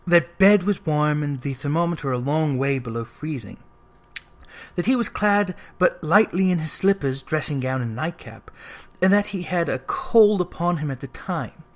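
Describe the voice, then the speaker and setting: A man narrating a literary story from a script in a measured, expressive storytelling manner. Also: a little high. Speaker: audiobook narrator.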